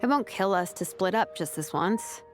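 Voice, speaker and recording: A woman's voice: mid-range voice, lively intonation, normal speed, music in the background, natural voice. A woman playing a friendly, easygoing young woman in an anime-style game, casual and upbeat.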